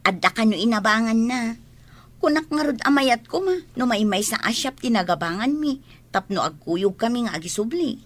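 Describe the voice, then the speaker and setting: Actress as modest Ilocano daughter, thoughtful, slightly high voice, natural radio drama delivery. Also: female voice, animated, normal speed. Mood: casual. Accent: Ilocano Filipino. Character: modest, thoughtful daughter readying for a school reunion.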